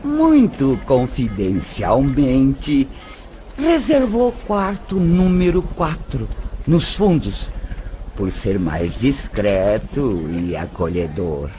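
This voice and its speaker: A man playing a mature madam in an audio drama, a confiding informant with a deliberate delivery. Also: mid-range voice, sing-song, warm tone, a little hiss or hum, pitched above the performer's own voice. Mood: confiding, sly.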